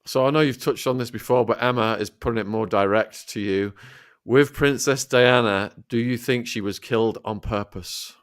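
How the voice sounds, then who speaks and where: A man hosting a podcast, reading viewer questions to his guest in a measured way. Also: mid-range voice, clear, resonant tone. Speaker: podcast host.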